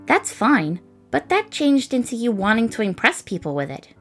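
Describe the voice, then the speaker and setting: A woman's voice: a little high, big pitch swings, moderate pace, a bit bright, faint music behind, exaggerated voice. A woman voicing a thoughtful, encouraging young woman in a comic dub of a space adventure. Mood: gentle, encouraging.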